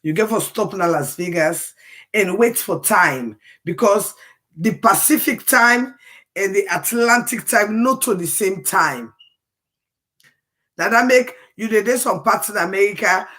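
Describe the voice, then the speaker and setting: A woman with a Sierra Leonean accent speaks on a livestream, her voice a little hoarse. She talks emphatically at a middle pitch and repeats key phrases to drive them home. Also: a bit bright. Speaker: livestream commentator. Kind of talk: casual livestream talk.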